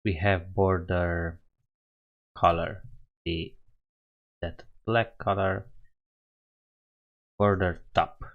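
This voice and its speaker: Slow, low-voiced Romanian man coding. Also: little pitch movement, narrow, tinny audio. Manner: thinks aloud, self-correcting, halting. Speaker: coding livestream host. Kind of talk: casual live coding narration.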